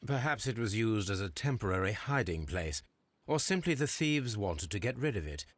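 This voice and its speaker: An actor playing a brilliant, self-assured Victorian detective in a video game, with precise, formal English speech. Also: male voice, on the low side, animated, normal speed, dry recording. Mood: confident. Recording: clear background noise.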